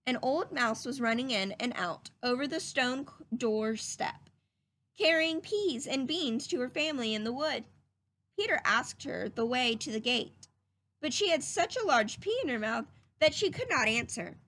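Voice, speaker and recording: A woman's voice: high-pitched, expressive, normal speed, a bit bright. A woman reading a children's story aloud in a teacherly, explanatory way, as if speaking to young listeners.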